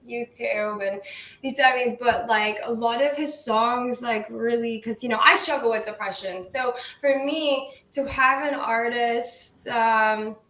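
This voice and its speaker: Woman, co-host, rambling casual talk, higher voice, roomy echo. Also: bright, forceful tone. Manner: rambling, full of "like" and restarts. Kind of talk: casual online radio chat.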